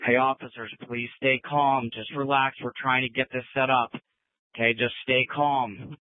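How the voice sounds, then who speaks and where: A man's voice: mid-range voice, flat delivery, moderate pace, dry recording, phone-quality sound. A male police officer giving emergency transmissions over a police radio.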